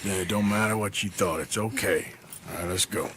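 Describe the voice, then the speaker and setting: An actor playing a gruff, weary but loyal cowboy outlaw in a video game, speaking in a laconic, dry way. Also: male voice, low-pitched, fairly even intonation, a little fast, noisy background. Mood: reassuring. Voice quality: gruff, husky. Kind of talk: naturalistic video game acting.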